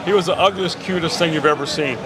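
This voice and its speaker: A man's voice: a little high, quick pace, crowd noise. A man hosting a casual podcast chat outdoors, talking in a rambling way with restarts and frequent laughs. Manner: rambling, stammering, laughs often. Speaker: podcast host. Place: outdoors on a busy street. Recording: street noise behind.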